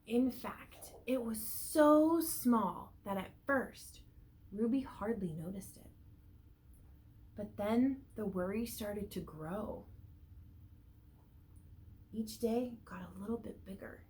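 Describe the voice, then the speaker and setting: A woman teacher reads a picture book aloud to young children, stretching words for emphasis and pausing to ask them questions. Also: medium pitch, darkish tone, slight background noise, rough recording. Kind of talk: read-aloud storytelling with asides and questions to young listeners.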